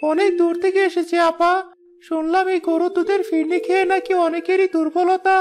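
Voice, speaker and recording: A woman's voice: extremely high pitch, level tone, fairly quick, a little mellow, slight background noise. A woman voicing a humble, grateful young village father in a cartoon, polite and deferential. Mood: pleading. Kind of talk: children's cartoon voice acting.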